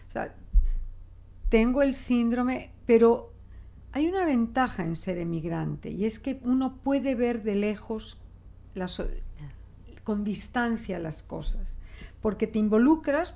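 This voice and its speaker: Woman, older, Spanish accent, slow, gravelly, reflective, small studio desk mic. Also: a little high. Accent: Spanish from Spain, adapted toward Mexican Spanish. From Spain. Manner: expressive, reflective, with frequent restarts and gestures. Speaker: guest linguist. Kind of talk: conversational interview. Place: small studio office, indoors. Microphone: desk microphone.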